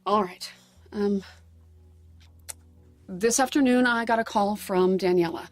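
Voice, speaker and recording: A woman's voice: mid-range voice, measured pace, no echo, faint music behind, close to an everyday voice. An American actress playing an anxious, protective mother in an audio drama, speaking fretfully and emphatically in a naturalistic style. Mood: hesitant, apologetic. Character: anxious, protective, indignant mother of a teenage daughter.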